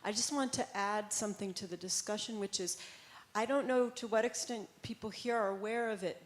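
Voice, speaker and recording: American woman commenting from the audience. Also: medium pitch. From United States. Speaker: audience member, academic.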